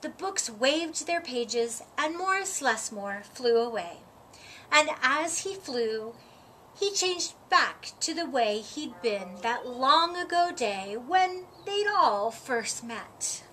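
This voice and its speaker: A woman reading a children's story aloud, with expressive, deliberate phrasing and dramatic emphasis. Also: high-pitched, low-quality recording. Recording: audible background noise.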